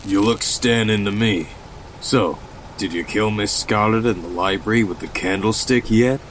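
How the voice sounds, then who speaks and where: Man acting a low-voiced deadpan wisecracker. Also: normal speed, a little hiss or hum, close to an everyday voice. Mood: flippant. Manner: deadpan, flippant. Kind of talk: audio drama dialogue.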